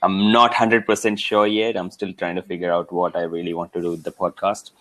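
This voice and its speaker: A soft-spoken man chatting as a guest, hesitant at times and quick to agree. His voice sits very low. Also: level tone. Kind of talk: casual chat.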